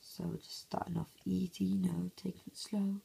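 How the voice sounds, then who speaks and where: A man with a hoarse, croaky voice, half-whispering casual commentary over a mobile game at home early in the morning, recorded on a phone. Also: high-pitched, little pitch movement, normal speed, warm tone. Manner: half-whispering to avoid waking sleepers. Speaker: gameplay commentator.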